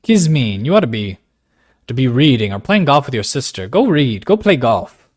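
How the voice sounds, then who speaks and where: A man voicing a stern, wealthy father who speaks gruffly and commandingly. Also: medium pitch, sing-song, moderate pace, a little mellow.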